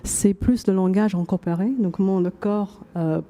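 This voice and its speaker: A woman's voice: medium pitch, fairly even intonation, moderate pace, mellow. A woman whose first language is not French, making a workshop remark carefully and searching for words. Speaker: workshop participant. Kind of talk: academic discussion remark.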